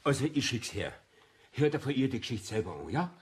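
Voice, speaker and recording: An actor playing an earnest, insistent master plumber with a Bavarian accent and a husky voice, coaxing in a radio play. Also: male voice, mid-range voice, sing-song, brisk, a little hiss or hum, natural voice. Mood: pleading, earnest. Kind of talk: German radio play dialogue.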